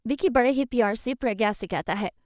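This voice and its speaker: High-voiced woman narrating a story. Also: fairly quick, a little mellow, dry recording, studio quality. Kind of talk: scripted story narration for a cartoon. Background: background music.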